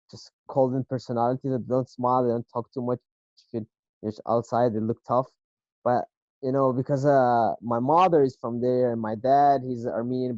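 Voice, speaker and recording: A man in his late twenties with an Israeli accent, a native Hebrew speaker, answering interview questions in a halting way with many fillers. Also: medium pitch, mellow, no echo, lo-fi sound. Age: late 20s. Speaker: guest boxer.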